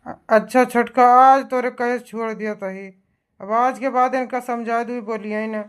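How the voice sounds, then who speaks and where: A woman's voice: fairly high pitch, steady tone, moderate pace, clean tone, close to an everyday voice. A woman playing a drunken, demanding village husband in a comic cartoon, blustering in an Awadhi accent. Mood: grudging. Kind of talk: exaggerated cartoon comedy dub.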